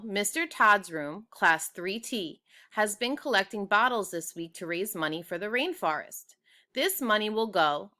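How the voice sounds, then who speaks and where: A woman voicing a kindly, official woman principal making encouraging, measured announcements in a children's storybook. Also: mid-range voice, bright, forceful tone. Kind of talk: children's storybook read aloud.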